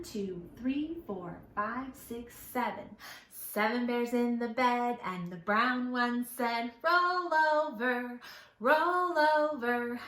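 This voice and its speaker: A woman's voice: on the high side, fairly bright tone. A woman leading a children's storytime, talking in an exaggerated singsong way as if to small children. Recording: echoey room.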